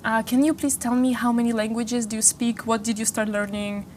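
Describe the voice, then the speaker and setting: A woman's voice: a little high, little pitch movement, fairly quick, darkish tone, a little hiss or hum. A woman in her twenties hosting a studio interview, upbeat and chatty, asking long, winding questions. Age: 20s. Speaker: interview host. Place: small studio. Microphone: lapel.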